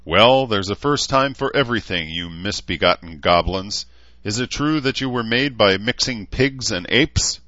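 A man voicing a bold young knight on horseback who shouts challenges and taunts at his foes. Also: fairly low pitch, animated, unhurried, dry recording, lo-fi sound, voice pushed up. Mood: taunting. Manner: loud, challenging, mocking. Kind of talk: audiobook character voice.

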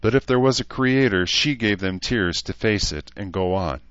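A man's voice: fairly low pitch, animated, normal speed, a bit bright, dry recording, highs cut off, voice pushed up. A man voicing a blunt, wise but caring elf woman who talks in clipped, broken phrases, in an audiobook story. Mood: soothing.

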